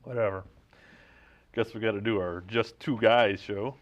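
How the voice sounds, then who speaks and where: A man hosting a comedy show with casual banter, in a hesitant, deadpan manner. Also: fairly low pitch, normal speed. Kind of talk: casual bantering monologue and interview. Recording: dull lo-fi recording.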